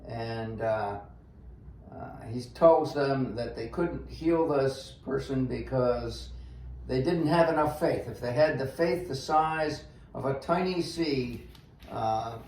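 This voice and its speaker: An older man, in his sixties or above, casually discussing the Bible in a halting, plain-spoken way and thinking aloud with pauses. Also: mid-range voice, darkish tone. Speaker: guest on a church read-along discussion. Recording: echoey room, faint noise.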